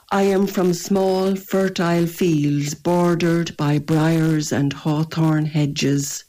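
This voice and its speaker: An older Irish woman, a poet, reading her poems in a measured, gentle way and answering interview questions. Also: low-pitched, slight background noise. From rural northwest Ireland. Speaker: poet and guest. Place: radio studio or phone line.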